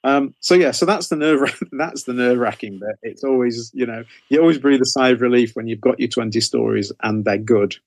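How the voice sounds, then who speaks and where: A man's voice: mid-range voice, fairly quick, gravelly, few pauses, no echo. A man giving casual interview answers in a hesitant way, often repeating and correcting himself as he explains. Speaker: interview guest, author and anthology editor.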